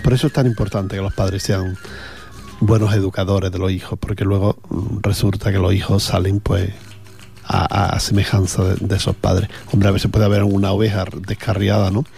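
A man's voice: sits low, flat delivery, moderate pace, gravelly, warm tone, faint music behind. A man with an Andalusian accent, chatting casually as a radio host between songs, rambling with fillers. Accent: Andalusian Spanish. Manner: rambling, chatty, with frequent fillers and repeated words.

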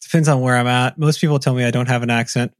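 A man from Tennessee co-hosting a casual podcast, reading out discussion points in a relaxed, agreeable way. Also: medium pitch, quick pace, no room sound, clean studio sound. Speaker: podcast co-host. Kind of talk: casual chat, reading list items.

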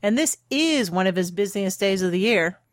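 Brash young heroine, male narrator raising his voice for her, confident and teasing, higher pitch, dry close sound. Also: animated, moderate pace, dry recording. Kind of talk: audiobook character voice.

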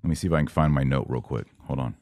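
A man hosting a podcast, giving a religious explanatory monologue in a didactic, emphatic way, pausing and repeating words for stress. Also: extremely low pitch, a little mellow, dry recording. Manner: didactic, emphatic, with deliberate pauses and repetition for stress. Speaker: podcast host.

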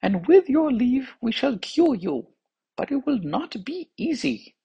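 A man voicing a nervous, eager young court physician in a much higher voice. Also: sing-song, unhurried, warm tone, slightly rough, lo-fi sound, full character voice. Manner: high, eager and urgent.

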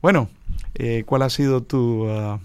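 A man's voice: medium pitch, fairly even intonation, takes it slowly, smooth, no echo. A man hosting a radio program, welcoming listeners and a guest in a friendly, rambling way with some fillers. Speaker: radio host. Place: radio studio.

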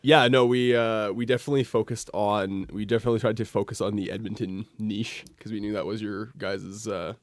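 Low-voiced young Canadian man, dry sound. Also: fairly even intonation, fairly quick, hardly any pauses, dry recording. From Alberta, Canada. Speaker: podcast guest. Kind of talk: casual chat.

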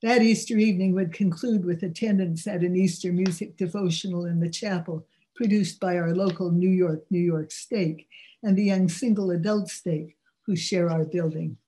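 An older woman with a low, mellow voice, giving a measured, earnest religious talk. Her tone is soft and warm, never sharp. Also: steady tone.

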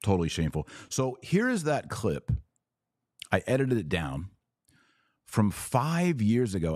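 A man hosting an opinionated commentary show, speaking emphatically and indignantly, with stressed pauses on key words. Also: fairly low pitch, a little mellow, no echo, studio quality. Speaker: podcast host and commentator. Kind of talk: opinionated news commentary to viewers.

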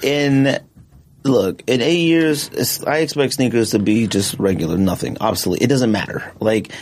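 A man's voice: medium pitch, normal speed, darkish tone, slight background noise. A man co-hosting a podcast, riffing emphatically and giving strong opinions in casual chat. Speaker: podcast co-host.

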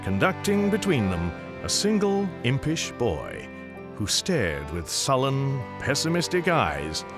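A wry male storyteller of a radio drama Western. His phrasing is measured and literary, his pitch moves very widely, and music plays clearly behind him. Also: huge pitch swings, exaggerated voice. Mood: wry storytelling. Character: male storyteller of an old Western Christmas tale, wry and folksy. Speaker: narrator.

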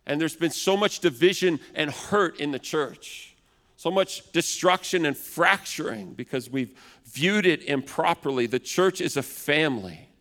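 Thirties guy preaching loud, big voice, highish, echo rolling around the hall. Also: measured pace. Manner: acts out complaining customers in raised voice. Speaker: pastor. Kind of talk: sermon. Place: large church hall. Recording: long hall reverb.

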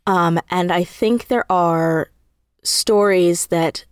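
A woman with a fairly low voice chats as a guest in an interview. She is animated and emphatic, poking fun at herself as she explains. The sound is dry, with very little room in it. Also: slow, clear, resonant tone, dry recording. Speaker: interview guest, audio drama writer. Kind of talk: casual interview chat.

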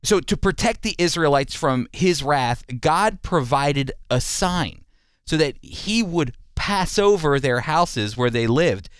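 A man's voice: a little high, expressive, slow pace, clear, resonant tone, dry recording, clean studio sound. A man hosting a radio program, teaching the Bible and commenting in an earnest, emphatic, explanatory way.

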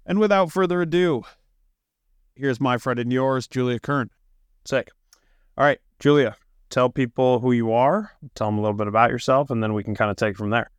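A man's voice: mid-range voice, normal speed, clean tone, no echo, studio quality. A man hosting a podcast, chatty and enthusiastic with plenty of fillers, talking while a little sick and sniffling. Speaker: podcast host. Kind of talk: casual podcast intro and interview. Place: office.

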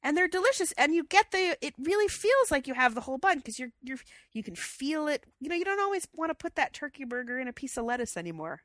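A woman with a fairly high voice and a stuffy head cold, chatting with enthusiasm as co-host. Her voice is recorded dry and close, with no room sound around it. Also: big pitch swings, quick pace, hardly any pauses, fairly bright tone, no echo. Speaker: podcast co-host. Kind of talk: casual chat.

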